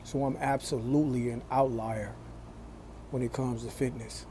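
A man in his forties talking to his phone camera in a small room, slow and deliberate, with a preachy tone. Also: medium pitch, steady tone, warm tone, a little hiss or hum. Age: 40s. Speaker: vlogger. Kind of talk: casual talk to camera.

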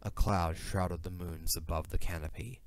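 Deep-voiced male narrator. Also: fairly even intonation, normal speed. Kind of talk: scripted dramatic fantasy narration. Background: music.

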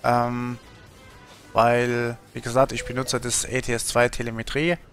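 A man's voice: mid-range voice, monotone, a little slow, a bit bright, faint music behind. A man in his thirties from Germany, chatting casually on a gaming livestream in a rambling, colloquial way, thinking aloud. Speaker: streamer.

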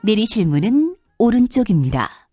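A woman announcer reading scripted subway station announcements in a formal, clearly enunciated manner. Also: medium pitch, sing-song, moderate pace, dark tone, faint music behind. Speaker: train announcer.

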